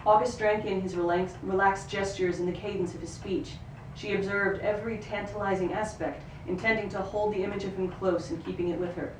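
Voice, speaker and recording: Female reader for literary fiction, expressive third-person storytelling, recorded off-mic in a roomy space. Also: mid-range voice, brisk, few pauses. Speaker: actor reading a book excerpt. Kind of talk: live literary reading, third-person narration. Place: live reading in a roomy venue. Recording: roomy, distant microphone, noisy ambience.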